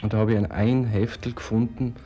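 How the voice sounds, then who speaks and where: Older man, mellow and wry. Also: lowish voice, flat delivery, fairly quick. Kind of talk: personal anecdote in an interview.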